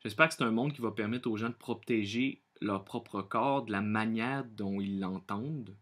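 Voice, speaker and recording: A man from Quebec with a Quebec French accent, speaking earnestly and off the cuff as a vlogger, often pausing and repeating himself as he searches for words. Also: on the low side, fairly bright tone. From Quebec, Canada. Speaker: vlogger sharing personal opinions. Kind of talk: unscripted monologue to camera.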